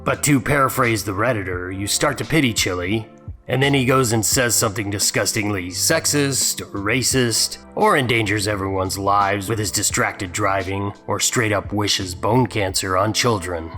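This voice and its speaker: Low-voiced sarcastic man reading over music. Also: normal speed, sharp tone. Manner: sarcastic, mocking. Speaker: host. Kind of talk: dramatic reading with wry commentary.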